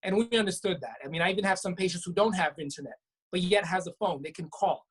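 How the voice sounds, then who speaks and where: A woman doctor from Brooklyn talks through her answers in a slightly low, husky voice. She explains earnestly and often restarts her sentences as she goes. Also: quick pace. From Brooklyn, New York. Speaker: physician guest. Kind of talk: conversational interview answers. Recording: web talk show.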